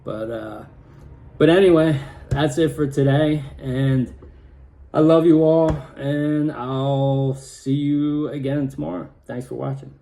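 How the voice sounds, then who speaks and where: A man with a slightly raspy, hoarse voice talking casually in a personal vlog, with many halting restarts. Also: medium pitch, steady tone, dark tone. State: hoarse from pollen. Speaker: vlogger.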